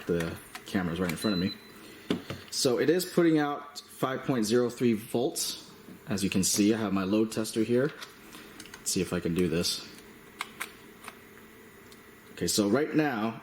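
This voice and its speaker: A man with a fairly low voice talks casually through a product demonstration. His delivery is halting and matter-of-fact. The room adds clear echo, and there is faint noise behind him. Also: expressive. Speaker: product reviewer. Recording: roomy echo, slight background noise.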